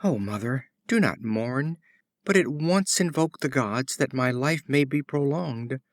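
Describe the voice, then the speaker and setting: A man's voice: mid-range voice, animated, unhurried, no echo, clean studio sound, pitched above the performer's own voice. A man reading a fable voices a sick young kite, pleading with his mother.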